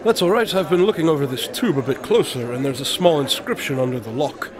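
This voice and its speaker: A man's voice: on the high side, lively intonation, fast, a crowd in the background, natural voice. A man playing an eccentric, observant detective in an audio drama, speaking in a precise, formal, absent-minded way. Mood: curious, composed.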